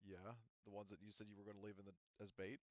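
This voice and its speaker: A man running a tabletop roleplaying game as game master, narrating casually and haltingly as he thinks aloud. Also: fairly low pitch, completely flat delivery, dry recording, dull audio.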